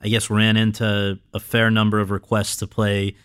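A male interviewer with a somewhat low voice. He builds long, careful questions and drops short replies while his guest talks. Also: completely flat delivery, normal speed, fairly bright tone, smooth.

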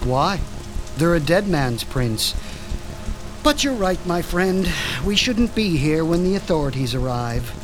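An actor playing a cultured, coldly curious mad doctor in a radio drama, fussy and chillingly detached. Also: male voice, on the high side, sing-song, a little slow. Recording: music and rain behind.

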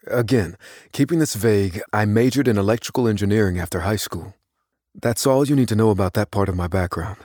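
An actor playing an unassuming, secretive young computer whiz, giving a guarded, deliberate confession in an audio drama. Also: male voice, lowish voice, darkish tone, breathy edge, no echo, clean studio sound. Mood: guarded, reflective. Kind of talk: dramatic first-person audio fiction narration.

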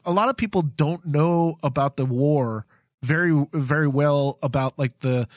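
A man co-hosting a casual podcast, explaining his ideas haltingly, with many restarts. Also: a little high, no room sound, studio quality. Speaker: podcast co-host.

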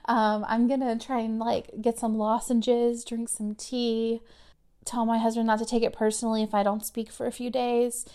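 Woman in her thirties, podcast host, casual filler-laden delivery, slightly higher voice, a touch worn from overuse. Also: flat delivery, normal speed, warm tone. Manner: chatty, conversational, many fillers.

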